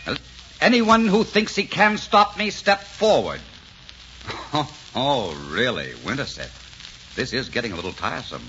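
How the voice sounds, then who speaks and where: An actor playing a proud, gallant, mocking French prince in theatrical, witty 1940s radio drama style. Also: male voice, mid-range voice, sing-song, unhurried, sharp tone, a little hiss or hum. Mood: mocking, defiant. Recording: muffled 1944 radio broadcast with music.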